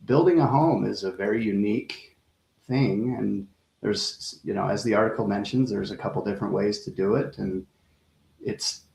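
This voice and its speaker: Low gravelly man in an echoey room. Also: normal speed, a little mellow. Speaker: guest lending expert. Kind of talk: interview answers.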